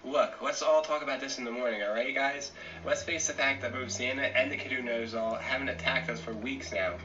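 A man's voice: medium pitch, fairly even intonation, fairly quick, slight background noise, lightly acted. A man voicing a nerdy, driven teenage boy genius in a parody cartoon dub, earnest and bossy. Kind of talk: fan abridged parody dub. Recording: muffled, distant mic with loud music.

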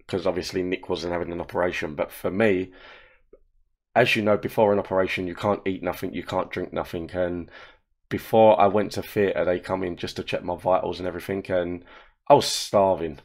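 A hoarse man from England, in his thirties, chatting in a rambling, storytelling way as a show's creator and host, recorded on webcam indoors. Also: low voice, fairly even intonation, moderate pace. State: hoarse, croaky voice. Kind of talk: casual commentary. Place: indoor room. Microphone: wearing headphones, webcam.

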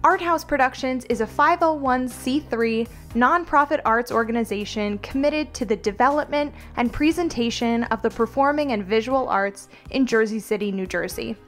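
American woman, scripted podcast narration, higher pitch, light music behind. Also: normal speed, few pauses. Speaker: producing director, podcast host.

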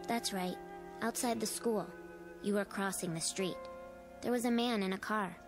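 A woman's voice: medium pitch, moderate pace, lightly acted. Eerie, knowing little girl voiced by a woman, music behind. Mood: insistent. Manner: calm, insistent, questioning. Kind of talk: radio drama acting. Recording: music under the voice.

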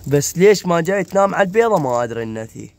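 A hoarse man with an Iraqi Arabic accent, chatting casually to viewers while filming his rooftop pigeon loft on a phone. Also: on the high side, very animated, racing. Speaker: pigeon keeper vlogger. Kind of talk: casual vlog. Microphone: phone camera held while walking.

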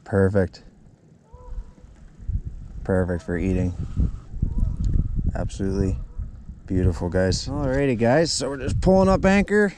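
A man's voice: lowish voice, a little slow, pauses often. A young Canadian man chatting casually as the host of a fishing vlog. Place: outdoors in a canoe on a lake. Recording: noisy outdoor action camera recording, clicks.

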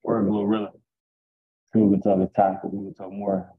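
A young man, a rapper, talking casually and boastfully in an interview over a video call, with a deep raspy voice. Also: little pitch movement, moderate pace. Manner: laid-back, boastful, rambling. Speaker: rapper guest. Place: indoors at home. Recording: tinny video call audio.

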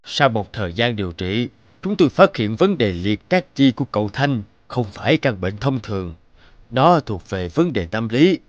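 A man playing a calm, concerned older doctor in an animated drama, measured and probing. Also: medium pitch, no echo, dull audio, lightly acted. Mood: serious.

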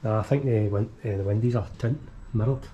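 A man's voice: lowish voice, fairly quick, mellow, highs cut off. A Scottish man exploring an abandoned building, making short muttered remarks as he films on a body-worn camera. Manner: terse, muttered remarks while walking. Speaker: urban explorer filming. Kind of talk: casual exploring chat. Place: empty abandoned building, indoors. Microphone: body-worn action camera. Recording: echoey empty rooms, noisy.

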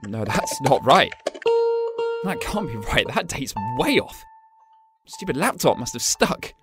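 A man's voice: medium pitch, close to an everyday voice. A man playing an anxious, easily rattled young exchange student in a radio drama, exclamatory and agitated. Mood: worried. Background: music.

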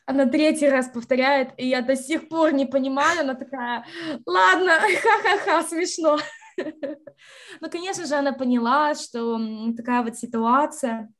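High-voiced young woman telling stories on a video call. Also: moderate pace. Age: young adult. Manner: lively storyteller, acts out quotes, mixes in English words. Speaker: interview guest, university graduate. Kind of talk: casual interview over video call. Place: bright room at home.

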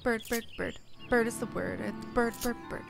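A woman's voice: fairly high pitch, normal speed, creaky, over music. A woman game streamer giving casual, informal commentary in muttered asides.

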